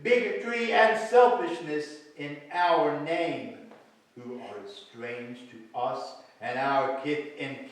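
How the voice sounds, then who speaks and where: A man playing a stern, solemn spirit in staged reader's theatre, declaiming archaic lines gravely. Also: high voice. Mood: stern, indignant. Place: indoor hall stage with seated audience. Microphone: far camera. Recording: distant echoey hall recording.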